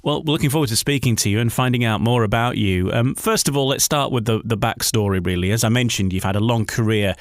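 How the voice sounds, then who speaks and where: A man's voice: mid-range voice, fast, hardly any pauses, no room sound, clean studio sound. A man hosting a conversational radio interview, politely leading into his questions at some length.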